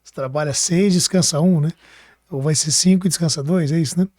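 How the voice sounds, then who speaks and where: High-voiced man hosting a podcast. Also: brisk, a little mellow, no echo. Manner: chatty, rephrases questions, seeks agreement. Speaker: podcast host. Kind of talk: casual conversational interviewing.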